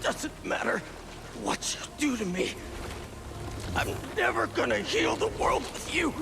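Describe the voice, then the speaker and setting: An actor playing an earnest young superhero, anguished and defiant, in a dramatic video game. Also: male voice, extremely high pitch, huge pitch swings, a little slow, gravelly, heavy background noise, heavily acted. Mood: defiant, pained. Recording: game audio under loud music. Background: loud cinematic music.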